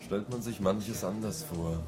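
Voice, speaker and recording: An actor playing a smooth divorced colleague and hesitant secret lover, suggestive and halting, in a radio crime drama. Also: male voice, fairly low pitch, over music, lightly acted. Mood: suave, suggestive.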